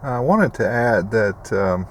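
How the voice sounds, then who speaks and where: A man's voice: lowish voice, lively intonation, slow. A middle-aged American man giving a casual, rambling, folksy walkthrough of gear as a video host. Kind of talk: casual explanatory product walkthrough. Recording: noticeable background vehicle and ambient noise.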